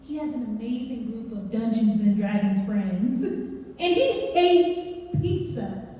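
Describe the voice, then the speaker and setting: A woman's voice: a little high, expressive, slow pace, warm tone. A woman telling a personal story on stage, recorded from a distance in a very echoey theatre. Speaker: speaker at a staged reading. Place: large indoor theatre. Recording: very echoey theatre hall from far off.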